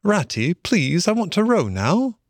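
A man's voice: high voice, very animated, a little slow, no echo, clean studio sound, voice pushed up, exaggerated voice. A man voicing a young male mole in a storybook, eager and impulsive, with an earnest, pleading manner. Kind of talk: storybook character voice.

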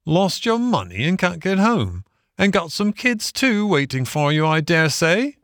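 A man plays a burly, kindly storybook engine driver. The voice is lifted well up in pitch, folksy and plain-spoken, like a friendly working man, and recorded dry. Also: very animated, unhurried, fairly bright tone, no echo, heavily acted. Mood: kindly, chatty. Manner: folksy, plain-spoken, rustic turns of phrase. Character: burly, good-natured working-class male engine driver.

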